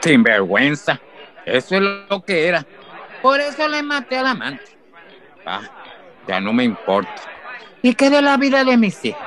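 An actor playing a gruff, foul-mouthed Venezuelan father and bar owner in a radio soap, blunt and emotional. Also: sits high, huge pitch swings, measured pace, long pauses, fairly bright tone, exaggerated voice. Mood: bitter, curious. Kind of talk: radio soap opera acting. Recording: prominent guitar music and crowd noise behind.

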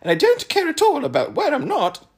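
A man's voice: very high voice, wildly swooping pitch, a little fast, voice pushed up, heavily acted. A man voicing an airy young boy who talks in riddles with a breezy, know-it-all manner. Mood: smug, airy.

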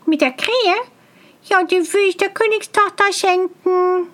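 A woman plays a young simpleton from a storybook, brash and cheerful. The voice is very high and drawn out, with naive, carefree exclamations. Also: unhurried, fairly bright tone. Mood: gleeful. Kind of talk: fairy tale storybook reading.

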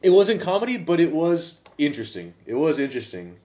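A man's voice: fairly high pitch, very animated, fairly quick, a loud crowd. A young man hosting a casual podcast, rambling with many restarts and casual swearing. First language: English. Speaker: podcast host. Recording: slightly dull lo-fi sound.

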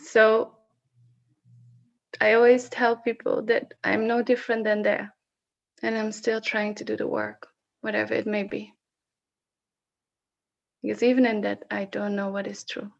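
Slow, searching Dutch-accented woman. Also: medium pitch, little pitch movement, creaky, lo-fi sound. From Netherlands. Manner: slow, searching, halting with restarts. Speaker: session facilitator. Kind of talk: personal reflective sharing on a group call. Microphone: video call.

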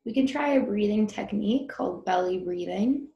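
A woman guiding a breathing meditation in a gentle, informal and unhurried instructional manner. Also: medium pitch, animated, vocal fry, roomy sound, dull audio. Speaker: meditation guide.

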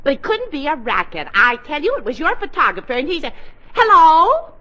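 An actress playing a flattered, excitable middle-aged single lady in a theatrical, gushing 1940s radio comedy style. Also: high voice, brisk, exaggerated voice. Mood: puzzled, indignant. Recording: noisy, dull 1940s radio recording.

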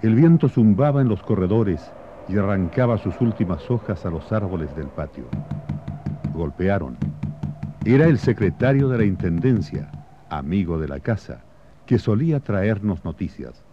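A man playing a solemn storyteller, narrating patriotic history and memories in a measured, literary radio drama style. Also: fairly low pitch, animated, a little hiss or hum, natural voice. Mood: solemn, reflective. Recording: muffled radio broadcast, faint music behind.

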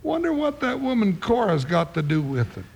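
An actor playing a folksy, excitable, good-natured cowboy sidekick in a 1950s Western radio show, with comic exclamations. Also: male voice, sits high, moderate pace, vocal fry, husky, full character voice. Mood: folksy, puzzled. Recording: noisy vintage radio recording with clicks.

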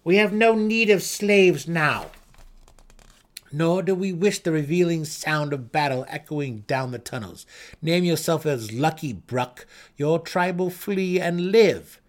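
An imperious dark elf warrior from a fantasy audiobook, acted by a man. He pitches his voice up and throws out commands loudly, with open menace. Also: slow. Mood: commanding. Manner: imperious, threatening.

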